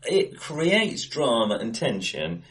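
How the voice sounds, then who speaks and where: A man with a mid-pitched voice talks through his opinions as a podcast co-host. He is hesitant and often revises himself mid-sentence. The room he is recorded in sounds bright and reflective. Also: big pitch swings, slow pace. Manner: hesitant, thinking aloud, self-correcting. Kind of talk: casual film discussion. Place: acoustically bright room indoors. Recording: echoey bright room, face to face.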